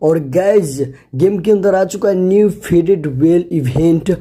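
Man, Indian accent, very dark soft-edged tone, slightly high pitch, casual gaming commentary, chatty, repetitive. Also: measured pace, slightly rough. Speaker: gaming video host. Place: voice-over on a screen recording.

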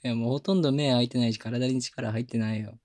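A man playing a gentle, doting young boyfriend in an ASMR bedtime audio drama, speaking softly and breathily, soothing and coaxing, close to the mic. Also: medium pitch, fairly even intonation, fast talker, no room sound, close to an everyday voice. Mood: tender, teasing. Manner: soft, soothing, tender, coaxing, often dropping to a whisper. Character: gentle doting young boyfriend lulling his sleepy partner. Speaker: voice actor. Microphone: close binaural microphone.

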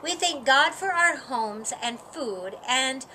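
An earnest, helpful little boy from a storybook, read by a woman. He explains things like a small scholar. The voice is high and bright, with expressive pitch. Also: slow, a little hiss or hum, heavily acted, poor audio. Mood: solemn. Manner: explaining, encouraging.

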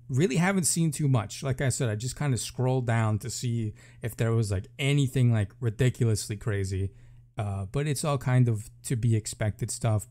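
Casual man with a mellow voice. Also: medium pitch. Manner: informal, thinking aloud while reading. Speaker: gaming video creator. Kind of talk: casual live reaction commentary. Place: room at home.

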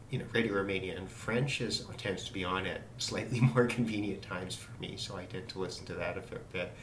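A man giving a casual, halting, unscripted radio demonstration, musing as he goes. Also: on the low side, a bit bright. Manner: halting, musing delivery with trailing thoughts. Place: indoors, small room at a desk. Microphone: camera mic some distance away. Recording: roomy, distant mic with radio static noise.

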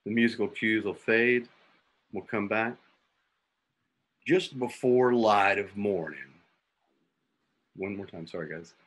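A man's voice: on the low side, slow pace, long pauses, fairly bright tone. A man giving scripted dramatic narration for a radio play, reading out sound cues as the narrator. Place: home room on a video call.

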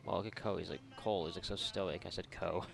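A man's voice: deep voice, steady tone, quick pace, vocal fry, soft background music. A man giving casual let's play commentary, joking, rambling and swearing freely. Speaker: gaming video host.

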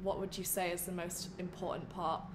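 A woman's voice: lowish voice, little pitch movement, a little fast, background noise. A young woman hosting an interview over a video call, asking long, rambling questions. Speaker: host. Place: room at home. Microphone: headphones on video call.

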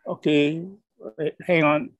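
A middle-aged man from Malaysia giving an online conference talk over a video call, halting and hesitant, with many fillers and apologies. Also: on the high side, little pitch movement, no echo. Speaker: conference speaker. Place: indoors at a computer.

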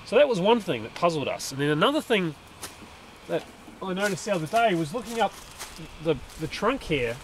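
Australian man, thirties, fairly high voice, casual outdoor vlog, restarts sentences, faint background hum. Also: sing-song, fairly quick, rough recording. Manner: curious, thinking aloud with many restarts. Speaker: permaculture designer. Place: outdoors in a backyard under a large tree. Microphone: handheld camera at arm's length.

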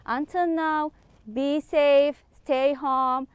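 A woman teaching English to children online, slowly repeating words and praising her pupils. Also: high voice, steady tone, dry recording. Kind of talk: online classroom lesson.

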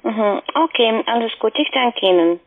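A woman's voice: a little high, lively intonation, normal speed. A woman making a polite, scripted call as a call centre agent. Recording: narrow phone line.

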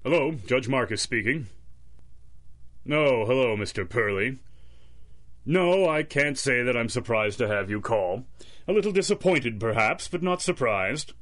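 Casting a man for a stuffy, proud judge in a pulp radio play, theatrical and lively in inflection. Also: medium pitch, measured pace. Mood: haughty, irritated. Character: haughty male judge, proud, fearful when threatened. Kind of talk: 1930s radio acting.

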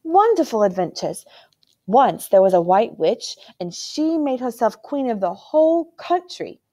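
Young boy prince, read by a woman, high and eager. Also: big pitch swings, unhurried, dark tone.

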